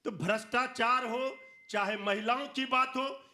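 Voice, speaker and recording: An Indian man argues on a televised political panel. His voice is very high and forceful, and he presses his accusations insistently. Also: fairly even intonation, a little slow. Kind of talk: combative TV debate.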